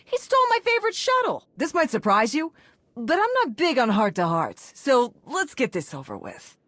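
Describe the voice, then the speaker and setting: A woman's voice: high-pitched, moderate pace, no room sound, full character voice. An actress playing a brash, sarcastic young female mercenary pilot in a video game. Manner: brash, sarcastic, lively delivery.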